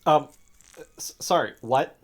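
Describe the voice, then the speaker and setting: A woman's voice: deep voice, takes it slowly, breathy edge, heavily acted. A woman playing a frightened, bewildered young investigator who is hesitant and incredulous, in an audio drama. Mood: bewildered disbelief. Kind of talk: fiction podcast audio drama acting.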